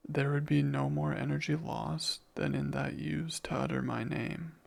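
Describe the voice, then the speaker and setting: A man playing a jealous, devoted demon in an intimate ASMR romance roleplay, speaking ardently and deliberately in a breathy, gravelly voice. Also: on the high side, flat delivery, heavily acted. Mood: insistent, coaxing. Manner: slow, deliberate, ardent, possessive. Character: jealous, devoted male demon confessing love.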